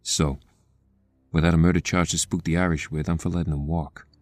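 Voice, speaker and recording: A man's voice: low-pitched, flat delivery, brisk, dry recording, faint music behind, close to an everyday voice. An actor playing a wry, hard-nosed district attorney, blunt and sardonic in his delivery. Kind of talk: dramatic audio acting.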